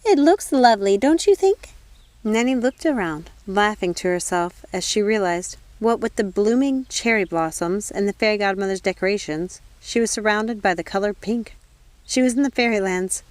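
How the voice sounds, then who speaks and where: A woman's voice: mid-range voice, normal speed, no echo. A woman reading a story aloud as scripted audiobook narration. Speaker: audiobook reader.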